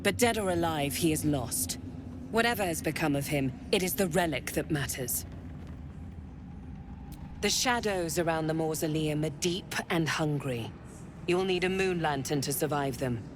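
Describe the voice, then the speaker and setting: An actress playing a seductive, menacing female commander of a dark cult in a video game, sultry and commanding in manner. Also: low-pitched, moderate pace, background noise, natural voice. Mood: stern, commanding.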